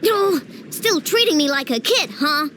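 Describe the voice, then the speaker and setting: A woman's voice: sits high, expressive, measured pace, bright, forceful tone, a little hiss or hum, exaggerated voice. A woman voicing a headstrong young girl genius inventor in an anime game, bossy, defiant and dramatic. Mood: grudging.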